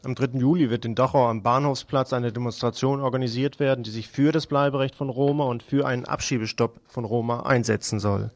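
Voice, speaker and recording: A man's voice: mid-range voice, fairly even intonation, brisk, few pauses, no room sound. A man presenting radio news, reading a scripted report.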